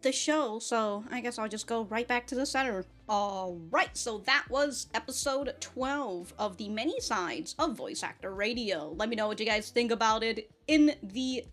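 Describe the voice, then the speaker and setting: A woman giving casual, off-the-cuff reaction commentary as a review host, rambling, slangy and animated, with some swearing. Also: fairly high pitch, normal speed, few pauses, faint music behind.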